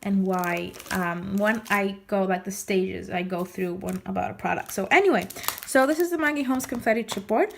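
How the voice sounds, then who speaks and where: Chatty woman, slightly hoarse voice. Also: mid-range voice, normal speed, a little hiss or hum. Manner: rambling, many restarts and fillers. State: recovering from lost voice, slightly hoarse. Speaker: scrapbooking vlogger. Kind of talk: casual show-and-tell chat.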